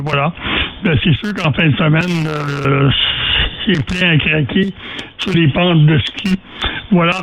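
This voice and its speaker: High-pitched Quebec French man on ham radio. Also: a bit bright, poor audio. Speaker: amateur radio operator leading a group contact. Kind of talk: casual radio chat.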